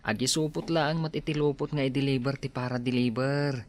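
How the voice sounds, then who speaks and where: A man's voice: mid-range voice, level tone, brisk, close to an everyday voice. An actor with an Ilocano accent playing an easygoing, teasing young male friend in a radio drama. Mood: persuasive.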